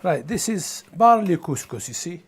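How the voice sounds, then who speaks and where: A man from the Middle East or North Africa talking casually and reflectively, haltingly searching for words. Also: high-pitched, very animated, husky. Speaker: documentary interviewee.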